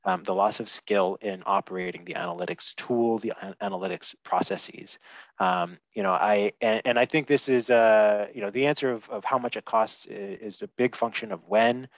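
A man's voice: lowish voice, normal speed, no room sound. A man on a headset in a small room, giving candid, opinionated views as a panelist in a webinar, with many fillers and restarts. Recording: dull lo-fi video call audio.

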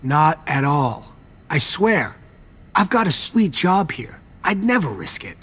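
A nervous, husky, servile vampire lackey. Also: male voice, a little high, very animated, slow, fairly bright tone, heavily acted. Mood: defensive. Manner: pleading, deferential, evasive. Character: nervous, servile young vampire garage attendant hiding a secret. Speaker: garage attendant. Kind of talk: video game cutscene acting.

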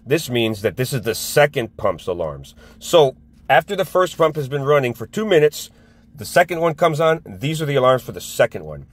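A man working as a service technician, talking informally through troubleshooting in a plain, explanatory way. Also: medium pitch. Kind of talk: informal troubleshooting walkthrough, thinking aloud. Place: indoor equipment room. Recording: handheld recording with machinery noise.

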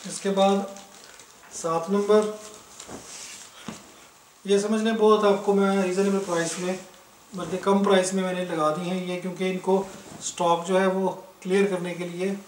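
A man from Pakistan showing clothes for sale, listing prices in a brisk, matter-of-fact way. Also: sits high, little pitch movement, distant mic, a little hiss or hum. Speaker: online clothing seller. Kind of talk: casual product showcase. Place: indoors, filming fabrics on the floor. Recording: echoey room, somewhat off-mic.

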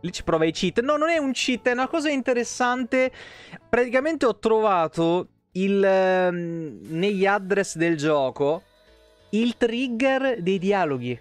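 High-pitched man streaming casually. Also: expressive, a little slow, no echo, faint music behind. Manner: rambling, sweary. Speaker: game streamer. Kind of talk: casual live stream chat. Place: small room at home. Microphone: close desk microphone on an arm.

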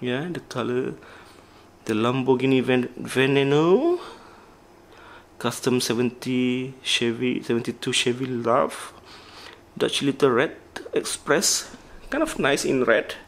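A man casually walking through his diecast car collection, talking haltingly and listing models one by one. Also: mid-range voice. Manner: halting, with restarts and listed names. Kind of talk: casual toy collection walkthrough.

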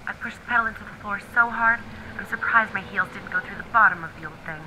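A woman's voice: mid-range voice, fast talker, very noisy, lightly acted. A woman playing an anxious, devoted film-noir dame, narrating in a brooding, dramatic radio-drama style. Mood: worried.

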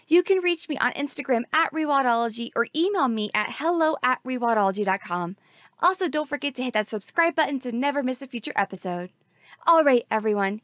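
A woman's voice: sits high, dry recording. A woman in her thirties hosting a conservation podcast, reading an enthusiastic scripted intro and then chatting with a guest over a video call. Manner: enthusiastic, gushing. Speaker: podcast host.

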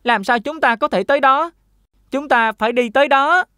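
A woman voicing an excitable, impatient little brother in a children's fairy-tale cartoon. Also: sits high, normal speed, clean tone, no echo, studio quality. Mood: excited, curious. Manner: excited, eager.

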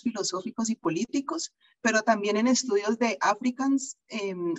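A woman's voice: medium pitch, poor audio. Hesitant woman hosting a video-call seminar. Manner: hesitant, many fillers. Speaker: seminar host. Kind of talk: introducing a guest speaker. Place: indoor room with bookshelves, video call.

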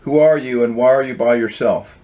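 A persistent, curious chicken heroine from a storybook, acted out by a man reading. She questions and insists, her pitch raised a little. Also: moderate pace, dark tone, natural voice, rough recording. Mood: questioning. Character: curious, persistent adult female chicken on a journey.

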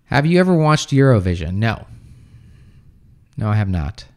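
A man in his thirties who grew up in the United States, hosting a casual English-teaching livestream from a small room at home. He reads viewer comments and answers them offhand, with lots of fillers, into a close boom mic. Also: sing-song, moderate pace. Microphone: studio mic on boom arm close to mouth.

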